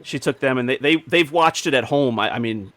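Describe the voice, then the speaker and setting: A man's voice: mid-range voice, brisk, a bit bright, dry recording. A man in his forties hosting a casual group podcast, reminiscing informally. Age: 40s. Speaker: podcast host.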